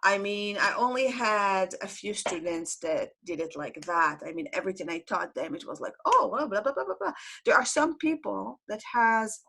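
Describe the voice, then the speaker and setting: A woman's voice: mid-range voice, very animated, normal speed, vocal fry, sharp tone. Chatty woman teaching online. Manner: chatty, repeats words, asks students questions. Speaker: Hebrew teacher. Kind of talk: live online class. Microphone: computer mic on video call.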